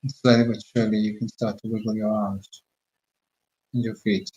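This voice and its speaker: A man slowly talking listeners through a guided meditation with calm, murmured instructions. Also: on the low side, muffled recording. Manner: slow, soothing, murmured instructions.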